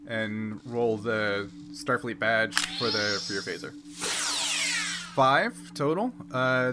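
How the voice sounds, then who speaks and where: A man's voice: medium pitch, noisy background. A man running a tabletop role-playing game, narrating and explaining rules off the cuff. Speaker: game master.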